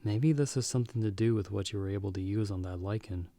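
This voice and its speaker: A man playing a calm, world-weary half-vampire hunter in an audio roleplay, speaking in a measured, understated way as a protective mentor to a companion. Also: fairly low pitch, no echo, close to an everyday voice. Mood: reflective. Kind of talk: ASMR audio roleplay acting.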